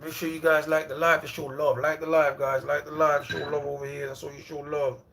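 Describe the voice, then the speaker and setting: A man hosts a casual livestream from home. His voice sits fairly high for a man. He rambles and repeats himself in a loose, friendly way, calling viewers brother and bro. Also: little pitch movement, brisk, vocal fry, a bit bright, slight background noise. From Jamaica. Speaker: livestream host.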